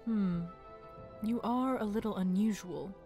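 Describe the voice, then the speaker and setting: A woman playing a large, composed female dragon leader in an audio drama, dry and measured. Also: medium pitch, dark tone, soft background music, lightly acted. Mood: curious.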